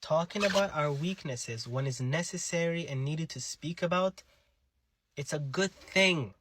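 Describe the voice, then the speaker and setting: A man's voice: a little high, measured pace, a bit bright, no echo. Earnest man with a dry close sound. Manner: earnest, appealing. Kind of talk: personal monologue to camera.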